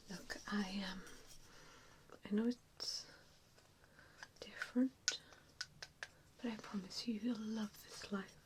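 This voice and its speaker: A woman's voice: mid-range voice, natural voice. A woman voicing a kindly, apologetic vampire in a whispered ASMR roleplay, hesitant and reassuring as she tends to a patient. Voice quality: soft breathy whisper. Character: nice, apologetic female vampire tending a newly bitten patient.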